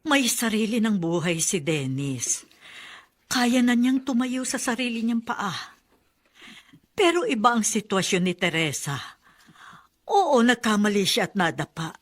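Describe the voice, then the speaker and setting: A woman's voice: fairly high pitch, expressive, measured pace, pauses often. A woman with a Filipino accent playing a concerned, frank aunt in a radio drama, questioning earnestly and reproachfully. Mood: pleading.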